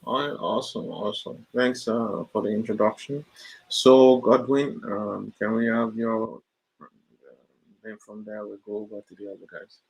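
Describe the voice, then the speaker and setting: A man hosting an online career info session, talking in a relaxed, filler-heavy way. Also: lowish voice, moderate pace, gravelly, breathy edge, rough recording. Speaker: career coach and session host.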